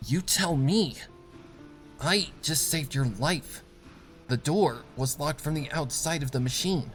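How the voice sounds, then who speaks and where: A man's voice: fairly high pitch, slow pace, soft background music, voice pushed up. A man voicing a protective, blunt adult female pony with an urgent, firm manner.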